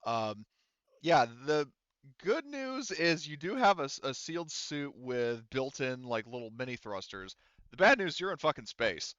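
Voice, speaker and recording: Male game master, clear resonant voice, loose and sweary as he talks through rules and scenes; dry but slightly muffled recording. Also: medium pitch, big pitch swings, unhurried, fairly bright tone, dry recording. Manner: rambling, profane, self-correcting. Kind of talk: casual tabletop game narration and rulings. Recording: slightly dull, lo-fi microphone sound.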